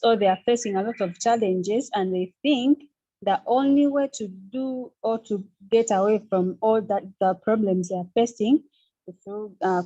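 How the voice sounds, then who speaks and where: A young woman from Uganda with a Ugandan accent, a student speaking on a panel, hesitant with many pauses and restarts. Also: medium pitch, mellow, dry recording, dull audio. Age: young adult. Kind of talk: online panel discussion.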